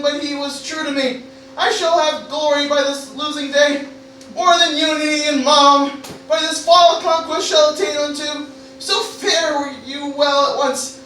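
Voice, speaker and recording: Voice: high-pitched, bright, forceful tone. An actor playing an anxious, doomed young nobleman, declaiming theatrically in a parody Shakespeare radio play. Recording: very echoey live room, distant mic, noisy.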